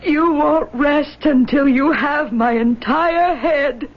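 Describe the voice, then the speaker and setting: A woman's voice: high voice, takes it slowly. An actress playing a distraught, abandoned young mother with theatrical, pleading grief in an old radio drama. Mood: anguished. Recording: muffled old radio broadcast recording.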